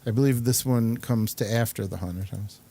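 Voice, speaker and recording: A man hosting a show, chatting and commenting casually in an informal, halting way. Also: fairly low pitch, noisy background. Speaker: show host. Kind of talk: casual studio chat and commentary.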